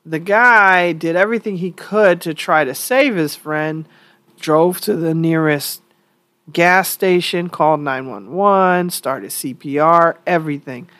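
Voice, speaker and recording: Slow-talking congested woman podcast host. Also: on the low side, a bit bright, no room sound. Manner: conversational and opinionated, thinking aloud with fillers. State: slightly congested from a cold. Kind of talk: solo opinion podcast monologue.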